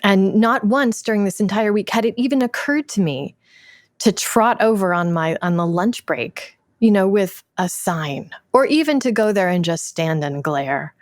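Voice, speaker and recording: A woman's voice: mid-range voice, expressive, moderate pace, dry recording, studio quality. A woman theatre artist recounting an experience reflectively, with emphatic repeats and self-corrections. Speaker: theatre artist discussing a production. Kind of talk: reflective spoken anecdote.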